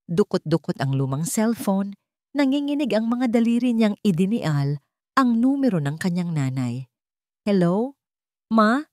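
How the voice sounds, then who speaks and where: A woman's voice: medium pitch, sing-song, normal speed, a little mellow, dry recording, studio quality. A woman narrating a scripted story in a dramatic style.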